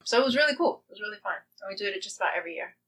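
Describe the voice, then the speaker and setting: A woman's voice: mid-range voice, expressive, racing, fairly bright tone, husky. A woman chatting casually and enthusiastically as a guest playwright in an interview. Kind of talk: casual chat.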